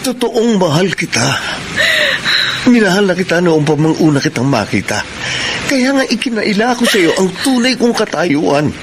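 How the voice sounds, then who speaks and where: A man's voice: high voice, very animated, moderate pace, noisy background. A man with a Filipino accent playing a deceitful married lover pleading in a radio melodrama. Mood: defensive pleading. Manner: imploring, melodramatic. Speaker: radio drama actor. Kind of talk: Filipino radio drama acting.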